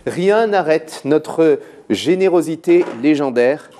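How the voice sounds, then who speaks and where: A man's voice: on the high side, expressive, takes it slowly, mellow, a little hiss or hum. A man hosting a TV talk show, teasing his co-host and greeting his guest in a playful, mock-formal way.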